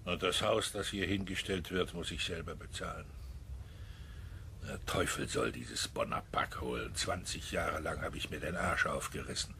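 Male actor as embittered retired official, older, coarse, fry-laden voice, radio play, faint noise behind. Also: extremely low pitch, moderate pace, sharp tone, full character voice. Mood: bitter, cursing. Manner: aggrieved, grumbling, blunt. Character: bitter pensioned senior security official, self-pitying, coarse. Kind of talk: German radio crime drama acting.

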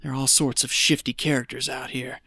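A man's voice: medium pitch, level tone, fairly quick, bright, forceful tone, husky, no echo, clean studio sound. A man playing a stubborn, gold-hungry desert prospector with a folksy way of talking in an audio drama. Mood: wary, scheming. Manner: folksy, muttering to himself when alone.

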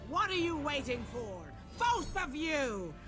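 An actress playing an imperious, menacing queen in a video game, commanding, with drawn-out delivery. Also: high voice, sing-song, over music, heavily acted. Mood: furious. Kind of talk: animated video game villain acting.

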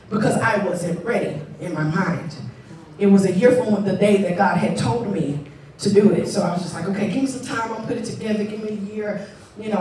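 A woman of thirty-nine preaching on a church stage with a handheld mic, chatty and playful, then building to shouts. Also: medium pitch. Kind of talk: sermon. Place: large church sanctuary, stage. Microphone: handheld microphone. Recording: echoey hall, far mic, crowd responses. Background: congregation calling back.

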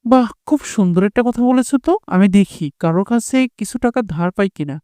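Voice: mid-range voice, animated, brisk, mellow, no echo, studio quality, lightly acted. A performer voicing an anxious, proud village father in a cartoon. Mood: worried, determined. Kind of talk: animated cartoon dialogue.

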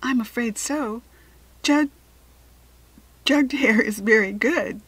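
A woman's voice: a little high, takes it slowly, a bit bright, slightly rough, dry recording, voice pushed up, exaggerated voice. A woman voicing an earnest, caring, anxious young farm girl in a children's storybook, speaking emphatically. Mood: worried, earnest. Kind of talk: children's storybook character reading.

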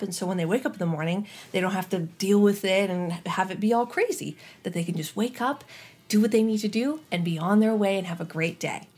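Animated young woman reading to children. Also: mid-range voice, husky. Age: young adult. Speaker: children's storytime reader and host. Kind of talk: expressive picture-book read-aloud.

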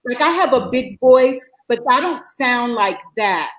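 A middle-aged woman telling a backstage story in casual chat on a video call, animated and laughing as she goes. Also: a little high, a little slow, low-quality recording. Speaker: guest performer.

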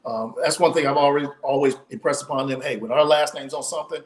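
Guest author, forties, male, animated storyteller on a home video call, voice tiring. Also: a little high, fast talker. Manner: animated, storytelling with many restarts. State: losing his voice. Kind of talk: casual interview storytelling. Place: home room, indoors.

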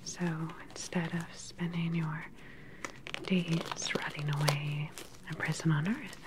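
A woman in her thirties playing a calm, sinister scientist in an ASMR sci-fi roleplay, whispering softly, close to the mic, with a clinical, condescending manner. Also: low voice, background noise. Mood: clinical, controlled. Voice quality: soft, breathy whisper. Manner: unhurried, clinical, quietly condescending. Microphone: close microphone, seated facing the camera.

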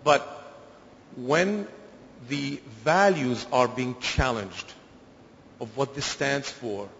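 A man from Syria making an earnest, emphatic intervention from the floor of a conference, with halting restarts. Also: medium pitch, a bit bright, slight background noise. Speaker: conference participant. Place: large conference hall. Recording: echoey hall, dull lo-fi sound.

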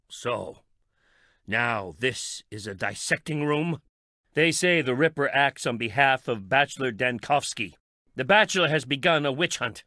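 An actor playing a brooding, bitter, sardonic eccentric man in a video game, with theatrical, measured delivery. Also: medium pitch, bright, forceful tone, no echo, clean studio sound. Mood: cryptic, wry. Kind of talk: dramatic game voice acting.

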